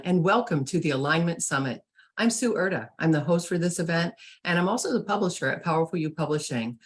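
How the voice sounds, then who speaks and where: A woman with a notably low, deep voice hosts an interview and sounds upbeat and enthusiastic. She reads a guest's bio and welcomes her warmly to the conversation. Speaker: host and publisher. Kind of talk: online summit interview.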